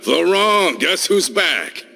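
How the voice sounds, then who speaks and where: An actor playing a tough, hearty ex-prisoner in a video game, with a boisterous manner. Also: male voice, high-pitched, big pitch swings, takes it slowly, bright, forceful tone, full character voice. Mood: jovial. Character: tough, hearty ex-prisoner man back home. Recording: clear background music.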